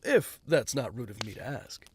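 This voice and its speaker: An actor playing a flippant, self-mocking rich playboy in an audio drama. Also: male voice, medium pitch, animated, moderate pace, a little hiss or hum, lightly acted. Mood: breezy. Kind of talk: fan audio drama acting.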